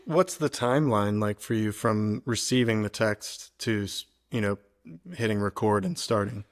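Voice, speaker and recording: A man's voice: lowish voice, fairly even intonation, normal speed. A man hosting a podcast, asking loose, searching questions with fillers. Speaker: podcast host. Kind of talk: interviewing in a podcast.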